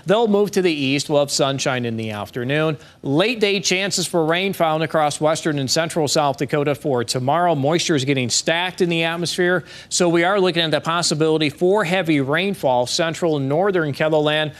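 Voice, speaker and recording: A man presenting the weather forecast on television, relaxed and conversational, with a few fillers. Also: a little high, moderate pace, few pauses. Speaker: meteorologist. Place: TV weather studio.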